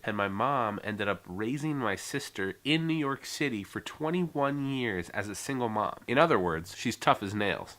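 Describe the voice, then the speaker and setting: A young American man with a low voice talks straight to his viewers in an earnest, heartfelt way, rambling a little as he goes. His tone is bright and forceful despite the low pitch. It sounds like a casual personal vlog. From United States. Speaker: vlogger.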